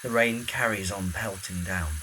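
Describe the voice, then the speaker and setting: A man's voice: low-pitched, moderate pace, noisy background. A man reading scripted present-tense narration for an audio drama.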